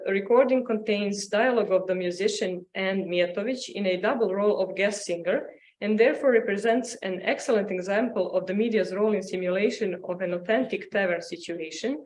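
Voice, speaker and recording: A woman reads a scholarly paper to a conference. Her delivery is formal and measured, at a middling pitch, and she occasionally trips on a word and picks it up again. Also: a little mellow, poor audio. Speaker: academic presenter. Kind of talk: formal conference paper read aloud in English.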